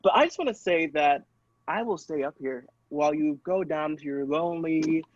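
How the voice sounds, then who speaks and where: A man's voice: fairly high pitch, animated, normal speed, no room sound. A man playing a smug, scheming angel in an improvised comedy, recorded with a dull sound. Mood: smug, threatening. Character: smug, scheming favorite angel, secretly evil.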